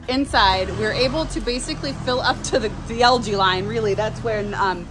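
A woman's voice: on the high side, normal speed, noisy background. A woman, a flower farmer, chatting casually on her phone while work goes on, telling stories about her partner with laughs. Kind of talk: casual vlog chat while working. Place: outdoors and in a greenhouse.

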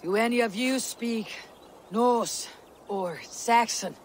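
An actress playing a stern young female Viking warrior in a video game cutscene, curt, guarded and measured. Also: a little high, slight background noise.

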